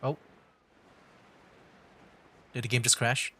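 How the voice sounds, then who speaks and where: A man's voice: medium pitch, flat delivery, takes it slowly, bright, forceful tone, slight background noise. Chatty, rambling man livestreaming a game. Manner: rambling, repeats himself, chatty. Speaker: livestreaming VTuber gamer. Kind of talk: casual game livestream commentary.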